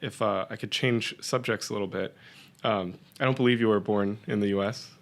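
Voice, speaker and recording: A man's voice: fairly low pitch, fairly even intonation, a little fast. A man hosting a podcast, asking his guest questions in an easygoing way. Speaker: podcast host. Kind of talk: interview questions.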